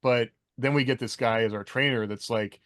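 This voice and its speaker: Man, American accent, mid pitch, dry recording, halting casual chat. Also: steady tone, fairly bright tone, dry recording. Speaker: podcast co-host, former army officer.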